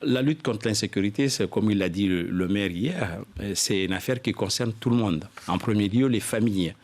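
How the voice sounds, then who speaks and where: A man speaking as an elected local official in a formal political interview, explaining points haltingly with repeated filler phrases. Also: on the low side, darkish tone.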